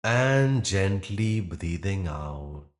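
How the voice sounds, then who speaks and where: A man guiding a yoga nidra meditation in a soothing, instructional manner with pauses between phrases. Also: deep voice, animated. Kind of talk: guided meditation narration.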